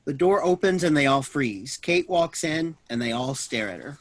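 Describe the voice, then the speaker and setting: A man's voice: a little high, animated, moderate pace. A man reading stage directions as scripted narration for a play.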